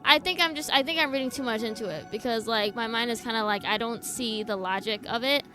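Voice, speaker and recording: A woman game streamer with a rather high, very bright voice. She reacts casually and skeptically, talking through her thoughts in informal language. Faint music plays behind her. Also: a little fast. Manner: informal, skeptical, thinking aloud.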